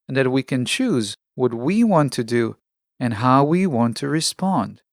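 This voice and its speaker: A man's voice: a little high, no echo. Man hosting a podcast, dry close sound. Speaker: podcast host. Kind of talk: scripted self-help monologue.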